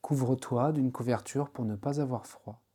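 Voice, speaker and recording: Male yoga nidra guide, medium pitch, soft warm timbre, calm instructional delivery with spacious pauses. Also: slightly rough. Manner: slow, soothing, unhurried instruction with pauses. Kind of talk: guided relaxation meditation.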